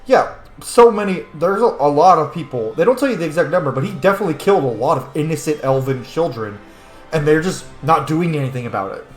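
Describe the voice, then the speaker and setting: A man's voice: fairly high pitch, expressive, quick pace, faint music behind. A man giving casual, blunt and profane commentary as he plays a video game. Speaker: gamer hosting a playthrough. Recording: roomy echo, faint noise.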